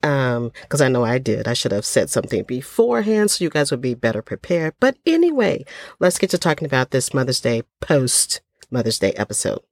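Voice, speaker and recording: A woman's voice: extremely low pitch, big pitch swings, brisk, dry recording. An older woman hosting her own podcast in a casual, folksy chat, a little stuffy from a cold, with plenty of ums. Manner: chatty, folksy, lots of ums. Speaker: podcast host.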